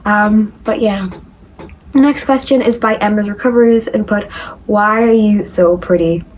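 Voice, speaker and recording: A woman's voice: medium pitch, normal speed, a little mellow, slight background noise, rough recording. A young woman from northeast England, chatting casually as she answers viewer questions in a rambling vlog. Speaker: recovery vlogger.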